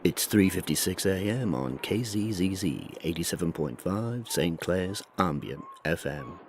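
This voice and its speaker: A man playing a mellow, dreamy late-night ambient radio DJ, delivering soothing, unhurried, hypnotic patter. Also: low-pitched, expressive, slight background noise.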